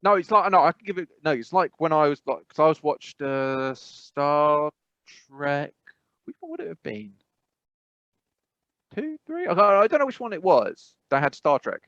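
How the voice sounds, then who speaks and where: Casual male podcast co-host, slightly high voice, rambling delivery, muffled low-fidelity sound. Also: very animated, moderate pace, a bit bright, dry recording. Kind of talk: casual chat.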